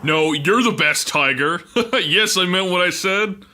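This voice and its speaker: Comic radio-play lumberjack, male actor, boisterous and rambling, forceful bright voice, hall reverb. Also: high voice, a little slow, a little hiss or hum, heavily acted. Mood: cheerful, boisterous. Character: goofy cheerful big lumberjack man aged thirty, easily scared. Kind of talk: comic audio drama acting.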